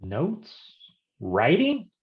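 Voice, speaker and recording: A man's voice: fairly high pitch, wildly swooping pitch, drawn-out words, narrow, tinny audio, exaggerated voice. A man playing a bumbling, folksy private detective in a staged noir parody, stammering and easily rattled. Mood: flustered, evasive. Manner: stammering, nervous chuckling, folksy slang.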